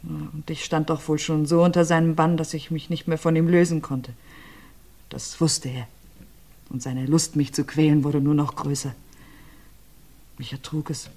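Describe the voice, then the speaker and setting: An actress playing an abused widow being questioned about her husband's death, with hesitant, halting answers that often sink to a near whisper. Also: flat delivery, dark tone. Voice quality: low, subdued, often drops to a near whisper. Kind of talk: radio crime play.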